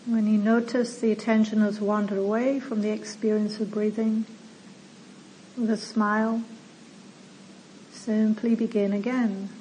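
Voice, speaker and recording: A woman meditation teacher leading a guided meditation in a gentle, soothing manner, with long pauses between slow phrases. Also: mid-range voice, little pitch movement, rough recording. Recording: clear background noise.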